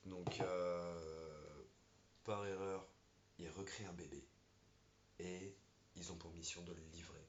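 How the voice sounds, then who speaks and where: Low-voiced man chatting in an echoey room. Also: steady tone, slow pace, long pauses. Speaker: film review vlog co-host. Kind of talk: casual, unscripted review chat. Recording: echoey room, dull muffled sound, faint noise.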